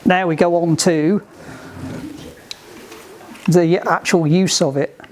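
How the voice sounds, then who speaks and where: A man giving hands-on instruction to a group in a halting, deliberate, emphatic way, on an amateur recording. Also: on the high side, steady tone, slight background noise. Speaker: sailing club instructor giving radio training.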